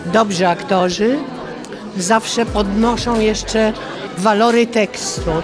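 A woman's voice: mid-range voice, steady tone, slow pace, a crowd in the background. A middle-aged woman giving a considered opinion in an interview. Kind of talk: interview reflection. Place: crowded indoor foyer. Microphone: handheld interview microphone. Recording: busy foyer with music behind.

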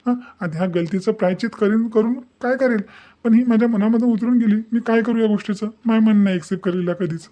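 A man's voice: sits high, fast, warm tone, lightly acted. A man voicing a hurt, unforgiving young husband in a cartoon drama, firm and protesting. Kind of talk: Marathi cartoon moral drama dialogue.

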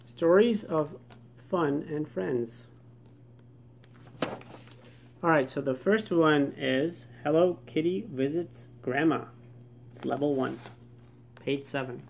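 Male host for a homemade children's reading vlog, creaky voice, casual intros, light noise on the recording. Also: fairly high pitch, slow, long pauses.

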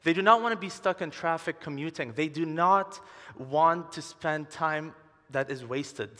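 A man's voice: fairly high pitch, a little slow, sharp tone. A man in his twenties from Dubai giving a prepared talk on stage as a conference speaker, wearing a headset mic. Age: 20s. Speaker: conference speaker, entrepreneur and urban designer. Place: large conference hall stage, indoors. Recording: large hall reverb from the stage.

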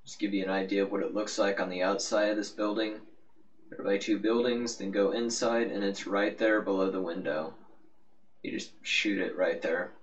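A man giving a casual, rambling, offhand game walkthrough as the host of a gaming video. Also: on the low side. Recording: muffled, noisy, distant-sounding microphone.

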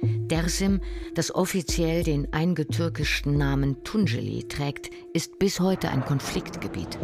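A woman reading scripted documentary narration in a measured, factual way. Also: low voice. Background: music.

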